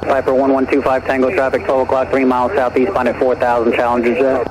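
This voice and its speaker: A man's voice: medium pitch, monotone, fast talker. A man working as an air traffic controller, giving procedural instructions over aviation radio.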